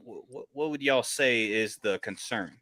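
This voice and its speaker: This is a man heading up a casual football panel. His voice is bright and forward, recorded dry with hardly any room around it. He argues emphatically, circling back on his points as he thinks them through. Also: medium pitch, a little slow, dry recording. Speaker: panelist leading a sports debate. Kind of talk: casual sports debate.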